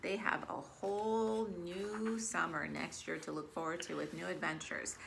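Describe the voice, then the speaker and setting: A woman's voice: on the low side, flat delivery, a little slow, vocal fry, fairly bright tone, a little hiss or hum. A woman reading a picture book aloud to young children and talking to them directly as a storytime host and yoga teacher. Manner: talks directly to children, addressing them as friends. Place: at home, virtual storytime.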